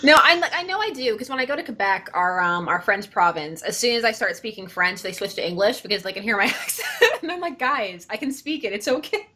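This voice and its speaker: A woman's voice: mid-range voice, animated, brisk, sharp tone. A Canadian woman with a Canadian accent chatting casually and laughing often. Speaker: co-host. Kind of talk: casual chat.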